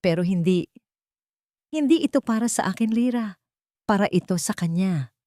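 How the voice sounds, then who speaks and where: A man's voice: sits high, lively intonation, normal speed, dark tone, no echo, clean studio sound, voice pushed up. A woman voicing a remorseful, exhausted young billionaire man who pleads in a broken voice. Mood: pleading.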